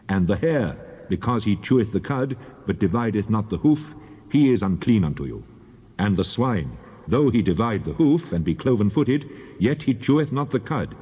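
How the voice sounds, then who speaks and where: A man narrating scripture as a Bible reader, solemn, deliberate and declamatory. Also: lowish voice, dark tone, husky, dull audio. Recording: long reverberant hall echo.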